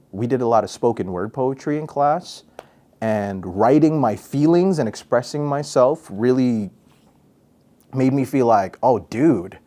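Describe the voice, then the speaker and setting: Young man from Guam, radio host and MC, relaxed and playful, casual interview tone. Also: medium pitch. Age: young adult. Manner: goofy, laid-back, chatty with fillers. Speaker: radio personality and MC, interview guest.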